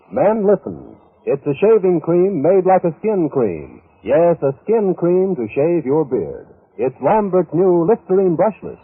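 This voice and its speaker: A man's voice: high-pitched, sing-song, a little slow, slightly rough, dry recording. A man announcing on 1940s radio, delivering sponsor copy and network identification. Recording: tinny, noisy vintage radio recording.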